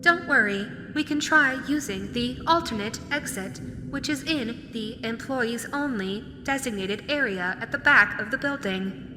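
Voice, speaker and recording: A woman's voice: a little high, a little slow, natural voice. A woman playing a polite, officious robotic guide device in an audio roleplay, giving formal, matter-of-fact directions like scripted announcements. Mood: politely officious. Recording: long hall reverb, clear background noise.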